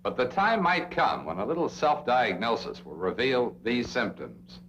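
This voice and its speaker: A man's voice: mid-range voice, sing-song, normal speed, fairly bright tone. An American man narrating a 1960s instructional film, speaking straight to the listener with emphatic phrasing and rhetorical questions. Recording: dull, noisy old film soundtrack with hum.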